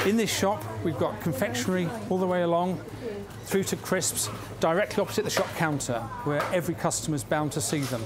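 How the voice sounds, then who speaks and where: A man's voice: sits high, fairly quick. A British man presenting retail advice in an instructional style. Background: background music.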